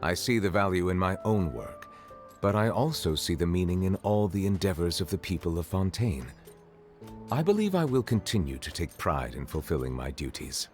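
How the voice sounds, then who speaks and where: A man playing an earnest, dutiful character in an anime-style video game, speaking in a calm, measured, formal way. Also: sits low, soft background music.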